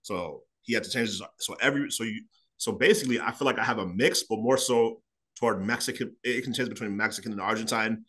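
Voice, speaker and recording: A man in his thirties from Chicago, telling his story as an interview guest over a video call, animated and gesturing as he talks. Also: on the low side, breathy edge. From Chicago, United States. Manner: fast, animated, gestures a lot while talking. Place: small room at home.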